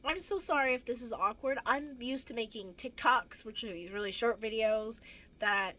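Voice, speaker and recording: A teenage girl with a hoarse, gravelly voice, chatting casually and rambling through a crafting vlog with self-deprecating asides. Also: a little high, normal speed, pushed and bright, poor audio. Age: teens. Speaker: craft vlogger.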